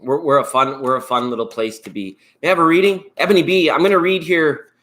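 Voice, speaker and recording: A man's voice: a little high, quick pace, a bit bright. A Canadian man hosting a live tarot stream, chatting in a rambling, upbeat way and thanking viewers by name. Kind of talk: casual live-stream chat.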